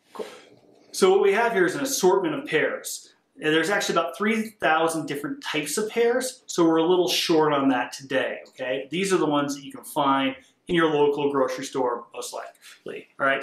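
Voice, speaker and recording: A man's voice: on the high side, fairly bright tone. A middle-aged man presenting a casual cooking demonstration as a chef in a recorded video. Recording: roomy, echoing video sound.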